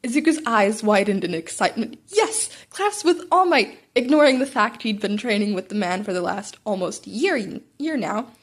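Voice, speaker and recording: A woman's voice: mid-range voice, expressive, moderate pace, few pauses. A woman narrating fan fiction informally as an amateur podfic reader, sometimes stumbling and rereading a word. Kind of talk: informal amateur story narration with character voices.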